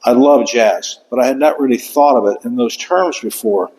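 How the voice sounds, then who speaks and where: A man's voice: medium pitch, darkish tone. Older guy giving a book talk, lots of uhs and restarts, echoey hall. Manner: halting, with many fillers and restarts. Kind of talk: museum author talk. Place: lecture room. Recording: long hall reverb.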